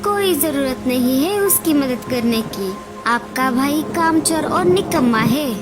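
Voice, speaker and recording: Woman doing a high voiced cartoon crow mom, scolding and sharp tongued, slow talker, music under it. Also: animated. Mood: scornful. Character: scolding, spiteful crow wife and mother. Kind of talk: Hindi animated moral-story voice acting.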